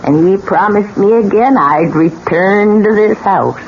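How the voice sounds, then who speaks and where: An older actress playing a stern, folksy old widow giving ominous warnings in a 1970s radio drama. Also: female voice, medium pitch, unhurried, natural voice. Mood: ominous, insistent. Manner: insistent, foreboding, folksy. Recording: muffled old radio recording, faint noise.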